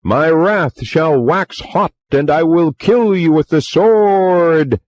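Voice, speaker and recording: Guy playing a booming preacher, slow, loud, pitched way up, muffled. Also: no echo. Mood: bellowing. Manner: bellowing, slow and drawn out.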